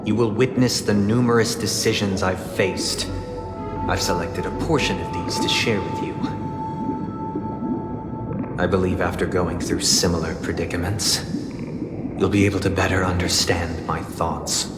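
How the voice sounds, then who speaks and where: Drenched in cavernous reverb over loud music, a suave game villain speaks in a low voice. He is solemn and formal, a grandiose idealist who measures out each phrase with deliberate pauses. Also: fairly even intonation. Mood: calm, commanding. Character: suave, solemn male idealist villain, orphaned elder brother. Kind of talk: theatrical video game voice acting. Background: loud background music.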